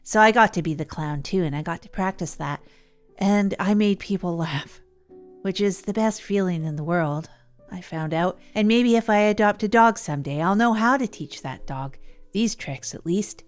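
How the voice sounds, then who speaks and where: A woman's voice: mid-range voice, normal speed, soft background music, lightly acted. A woman playing an earnest, self-effacing radio host who tells her misadventures in a chatty, hesitant, improvised way. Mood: pleased, reflective. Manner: hesitant, rambling, chatty, chuckles at herself. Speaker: narrator and radio show host. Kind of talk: improvised first-person audio drama monologue.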